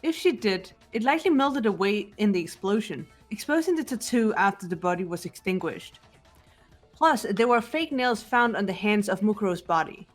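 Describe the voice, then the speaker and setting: A woman's voice: medium pitch, expressive, moderate pace, faint music behind, natural voice. A woman playing a cool, confident, analytical teenage girl detective in an amateur video game dub, assured and precise.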